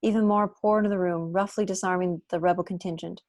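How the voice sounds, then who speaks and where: A woman's voice: fairly low pitch, steady tone, fast talker, darkish tone, dry recording, studio quality. A young woman reading screenplay stage directions as scripted narration on a video call from home. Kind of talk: scripted narration at a table read.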